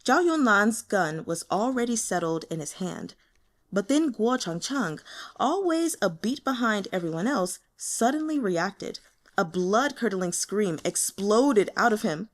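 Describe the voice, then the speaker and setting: A woman narrates fiction in an expressive audiobook style. Her pitch is lively and varied as she reads. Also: measured pace. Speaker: audiobook reader.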